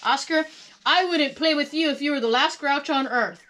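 A woman's voice: medium pitch, very animated, normal speed, a bit bright. A woman voicing a friendly, eager little girl in a children's storybook, earnest and simple in her speech. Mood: grouchy.

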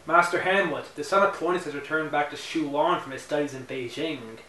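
A man's voice: fairly high pitch, brisk, sharp tone. A young man playing a polite, deferential advisor who talks formally, as if giving a briefing, recorded in a living room. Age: young adult. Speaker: actor in an amateur mob drama. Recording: roomy room audio from a far camera, faint hiss.

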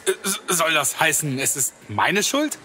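Man playing a sulky, sharp-voiced young man. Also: on the high side, big pitch swings, unhurried, slight background noise, full character voice. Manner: curt, exasperated. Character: irritable, sulky young man, blunt with his friends. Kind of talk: audio drama acting.

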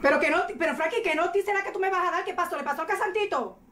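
Woman acting as a crying wife, real high voice, frantic, echoey room and a bit off mic. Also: rapid-fire, fairly bright tone, a little hiss or hum. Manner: frantic, pleading, sobbing. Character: distraught young wife of a shot man.

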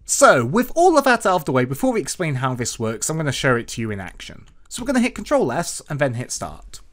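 A man's voice: on the high side, wildly swooping pitch, fast, a bit bright. A man narrating a coding tutorial as its host, with sing-song emphasis at the start of phrases. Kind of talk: scripted coding tutorial narration over screen recording.

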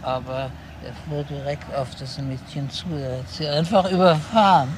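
An actor playing a flustered male eyewitness in a German radio crime drama, halting and repeating himself. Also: on the high side, fairly even intonation, background noise, close to an everyday voice. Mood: shaken, flustered. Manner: halting, repetitive, tags statements with "nicht?". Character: flustered male eyewitness to a fatal car incident.

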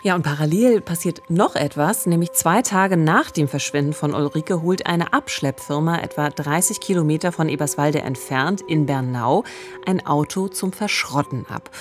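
A woman's voice: low voice, moderate pace, faint music behind. A woman in her thirties or forties co-hosting a true-crime podcast, narrating conversationally in a studio. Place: small indoor podcast studio. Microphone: close studio condenser microphone with pop filter.

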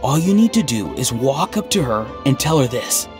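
An actor playing an earnest, odd, melancholy young man in a video game, speaking in a halting, stiffly sincere deadpan. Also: mid-range voice, natural voice. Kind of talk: video game dialogue acting. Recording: game music underneath. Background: background game music.

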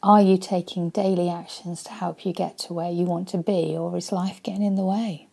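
A woman in her forties, a life coach, speaking earnestly and softly into a webcam in her living room, often dropping to a breathy near-whisper. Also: lowish voice, level tone, normal speed. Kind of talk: motivational vlog talk.

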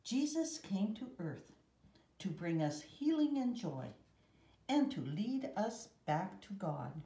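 A woman in her seventies reading a children's picture book and scripture aloud, carefully and in measured short phrases. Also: medium pitch, big pitch swings, warm tone. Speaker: guest story reader. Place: room at home. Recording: roomy echo.

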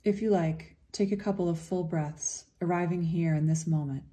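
A woman with a very soft, dark, relaxed voice guides a meditation. Her pitch sits low, and she speaks gently and without hurry, leaving long, soothing pauses between phrases.

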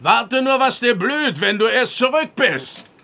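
An actor playing a stern, pious farmer father in a radio drama, gravelly and formal in speech. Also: very high-pitched, big pitch swings, a little slow, pushed and bright, heavily acted. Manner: formal, commanding.

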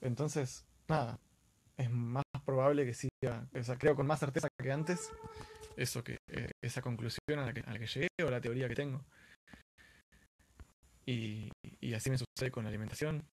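Man, Argentine accent, medium pitch, informal live-stream host, earnest, repeats words for emphasis. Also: fairly even intonation, moderate pace, slight background noise. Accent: Argentine Spanish. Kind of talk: casual live-stream talk on wellness.

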